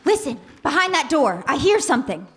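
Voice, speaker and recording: A woman's voice: sits high, big pitch swings, brisk. A woman playing a chirpy, gung-ho patriotic housewife heroine in a comedic live radio play, with exaggerated, perky delivery. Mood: cheerful. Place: small comedy theater, performed live. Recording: live theater stage, some background noise.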